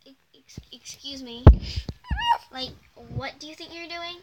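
A woman voicing a snooty, dismissive, newly posh female friend in an amateur pretend-play story, indignant and halting, peppering speech with filler words. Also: high-pitched. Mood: indignant, disgusted. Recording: very noisy home recording with clicks.

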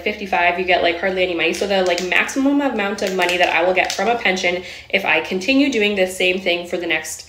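A woman's voice: medium pitch, fairly bright tone, slight background noise. Woman in her 30s ranting to her phone, casual and sweary, roomy echo on it. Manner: chatty, laughing, swears casually. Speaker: social seller in a played clip. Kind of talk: casual selfie-video rant.

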